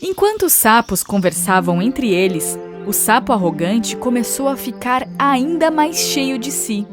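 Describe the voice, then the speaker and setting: A woman narrates a children's storybook fable from a script. The voice sits fairly high, with music clearly playing behind it. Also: expressive, unhurried.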